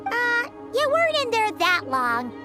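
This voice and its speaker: A tiny, loyal fairy companion from a video game, with a very high, squeaky, childlike voice. The chirpy delivery swings widely and takes its time. It is exclamatory and cute. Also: female voice, wildly swooping pitch, unhurried, exaggerated voice. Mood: puzzled. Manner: refers to self in third person, exclamatory. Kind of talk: video game cutscene acting. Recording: background music under the voice.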